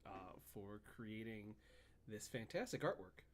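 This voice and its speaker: A man's voice: on the low side, lively intonation, unhurried. A man co-hosting a podcast in casual chat, playful and teasing. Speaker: podcast co-host.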